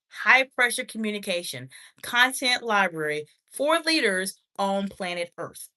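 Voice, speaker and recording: A woman's voice: medium pitch, pushed and bright. A woman executive communication coach from the United States, talking on a livestream in a formal, encouraging way and greeting viewers by name as she reads their comments. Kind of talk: livestream talk, reading chat comments.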